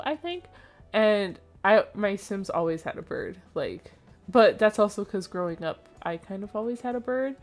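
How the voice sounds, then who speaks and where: Young woman, slightly nasal and sleepy, chatty rambling voice-over over soft music; mid-pitched. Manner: chatty, rambling, with frequent fillers and small laughs. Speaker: Sims builder and channel host. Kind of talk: casual voice-over commentary on a speed build.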